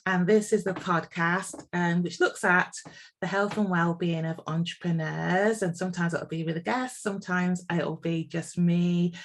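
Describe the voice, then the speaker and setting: Chatty host woman with a gravelly voice. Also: fairly low pitch, steady tone, normal speed. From England. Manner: chatty, warm-hearted, many ums and restarts. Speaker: podcast host. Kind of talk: casual interview chat.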